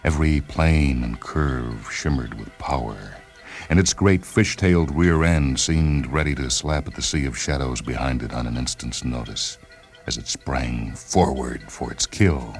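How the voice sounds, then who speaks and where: A man narrating a short story in a deliberate, dramatic literary delivery, with music behind him. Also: rumbling low. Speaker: narrator and reader of short stories.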